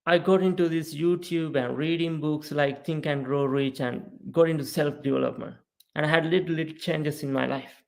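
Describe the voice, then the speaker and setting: Earnest guy from sri lanka, kinda gravelly, slightly high voice, storytelling on a podcast. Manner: earnest, animated, frequent "right?" and "you know". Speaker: podcast guest, mindset coach. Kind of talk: casual storytelling interview.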